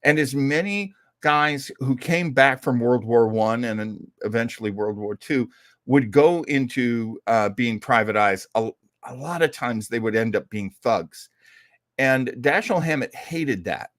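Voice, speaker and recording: A man's voice: mid-range voice, measured pace, fairly bright tone, dry recording, clean studio sound. A man hosting an old-time radio program, giving casual commentary in a chatty, folksy, opinionated way.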